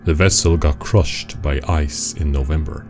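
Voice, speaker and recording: A man's voice: extremely low pitch, fairly even intonation, measured pace, darkish tone, faint music behind. A man acting in a radio play as a stern, formal imp, a pedantic and commanding taskmaster. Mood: businesslike, formal. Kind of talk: radio drama dialogue.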